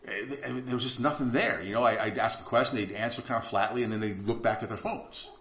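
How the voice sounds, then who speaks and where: A man preaching a sermon as pastor, chatty and animated, often restarting his sentences. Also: medium pitch, fast talker. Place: church sanctuary. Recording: echoey church room, slightly dull sound.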